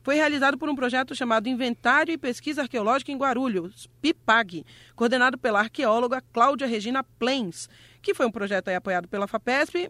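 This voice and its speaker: A woman's voice: a little high, a bit bright, no echo. A woman from Rio de Janeiro who grew up in Salvador, hosting a cheerful chat and explaining local history, laughing often. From Rio de Janeiro, raised in Salvador. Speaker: host. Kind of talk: light educational radio chat.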